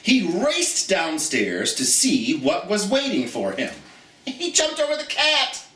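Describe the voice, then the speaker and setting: A man hosting a children's storytime in a theatrical, folksy, chatty way, addressing listeners directly as in an expressive picture-book read-aloud. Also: sits high, slow pace. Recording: roomy echo, distant from the mic.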